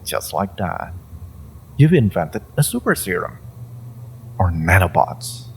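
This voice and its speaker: A man playing a sinister, inhuman mind-reading interrogator in an audio roleplay, theatrical, mocking and menacing, with a gravelly voice and drawn-out phrasing. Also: sits low, sing-song, slight background noise, full character voice. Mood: mocking, probing. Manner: theatrical, taunting, drawn-out phrasing with pauses and whispers. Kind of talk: dramatic audio roleplay monologue to the listener.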